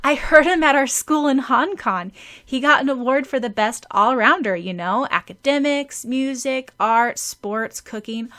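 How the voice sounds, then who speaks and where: Lady doing a bragging schoolgirl, excitable and high pitched, loud audiobook character. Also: unhurried. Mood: proud, boastful. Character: boastful, excitable schoolgirl Malin.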